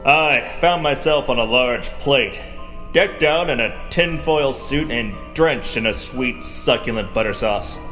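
A man's voice: medium pitch, a little slow, sharp tone, faint music behind. A man as a pompous pulp space hero. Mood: dramatic narration. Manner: overblown, earnest bravado. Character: brave, pompous square-jawed space-adventure hero. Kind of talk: pulp radio serial parody with hardboiled narration.

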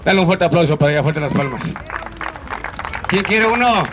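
A man's voice: on the high side, expressive, a little fast. A man with a Mexican accent working a crowd as a street comedian, bantering in a teasing, cheeky way. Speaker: street clown comedian hosting a live show. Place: outdoor public park in a city. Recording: outdoor urban noise, crowd around.

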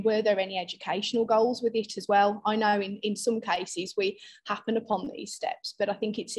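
A woman's voice: mid-range voice, breathy edge, rough recording. A middle-aged woman hosting a panel discussion over a video call, talking with many fillers and restarts. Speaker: panel host.

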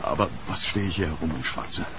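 An actor playing a troubled, courteous gentleman archaeologist haunted by his past, speaking formally and broodingly in an audio drama. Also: rumbling low, fairly quick, background noise. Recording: rainy street ambience, thunder.

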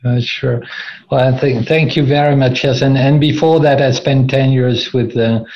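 French-accented man, halting and repetitive. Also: mid-range voice, fairly even intonation, a little mellow. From France. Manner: hesitant, many repeated words and fillers, laughs often. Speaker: company CEO guest. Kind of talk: conversational interview. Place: office. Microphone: video call. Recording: slightly dull video call audio.

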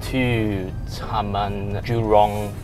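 A man's voice: fairly low pitch, level tone, extremely slow, darkish tone. Chatty guy in his 30s hosting a food show, lapel mic, music playing under him. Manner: upbeat, chatty, playful. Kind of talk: casual food vlog presenting. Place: outdoors and in an open-air food centre. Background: background music.

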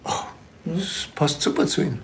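An actor playing a laconic, sardonic Bavarian police detective with a deadpan delivery. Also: male voice, normal speed, slight background noise, close to an everyday voice. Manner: dry, deadpan.

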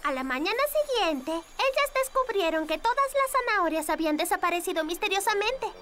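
A woman's voice: extremely high pitch, animated, brisk, fairly bright tone, faint music behind. A woman voicing a bookish, self-assured little girl detective in a dubbed children's cartoon, wordy and dramatic. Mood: storytelling.

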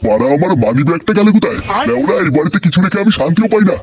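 Cartoon grandpa, male, played by a man: crude, cranky, extremely quick delivery, slightly raised pitch. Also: big pitch swings, crowd noise. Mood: irritated. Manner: grumbling, crude. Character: irritable, foul-mouthed grandfather in a comedy cartoon. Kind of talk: comedy cartoon voice acting.

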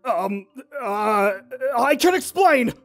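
A man voicing a flustered, kindly, awkward young man with an expressive delivery in an animated roleplay. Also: very high voice, slow pace, full character voice. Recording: background music under dialogue.

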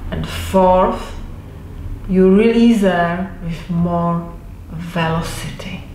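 A woman in her forties whose first language is Czech, teaching pronunciation to camera and demonstrating sounds slowly and reassuringly. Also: fairly low pitch, flat delivery, warm tone, slight background noise. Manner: slow, deliberate, reassuring, repeats sounds to demonstrate. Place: indoor room with wooden door and bookshelf. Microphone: fixed camera at mid distance. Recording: roomy echo.

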